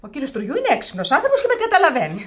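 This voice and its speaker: A woman's voice: high voice, huge pitch swings, fairly bright tone, heavily acted. An actress playing a bossy, indignant wife and anxious mother in a radio comedy, with an insistent, scolding manner. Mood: insistent, annoyed. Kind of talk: theatrical radio comedy acting.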